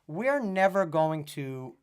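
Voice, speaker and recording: A man co-hosting a live horror podcast in casual chat. He is a little hoarse from a cold and talks in long, jokey run-on sentences. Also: fairly high pitch, sing-song, takes it slowly. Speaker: podcast co-host.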